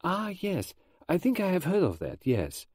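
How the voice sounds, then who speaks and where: A man reading aloud, voicing a fussy, precise, elderly foreign male detective who speaks slowly and formally. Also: sits high, wildly swooping pitch, darkish tone, dry recording, studio quality, voice pushed up, full character voice. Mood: measured.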